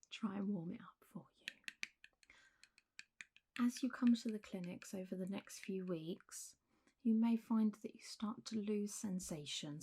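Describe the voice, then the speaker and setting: A woman role-playing a doctor for ASMR, speaking softly and often in whispers, with a gentle, reassuring, unhurried bedside manner. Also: mid-range voice, a little hiss or hum. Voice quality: soft, breathy, often whispered. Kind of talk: whispered and soft-spoken ASMR medical roleplay.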